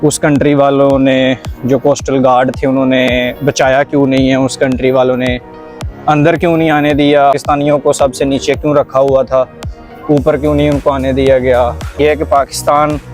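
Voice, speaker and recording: A man's voice: medium pitch, level tone, normal speed, darkish tone, soft background music. A young Pakistani man with a Punjabi accent hosting a casual conversational vlog, mixing Punjabi and English words into his talk. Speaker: vlog host.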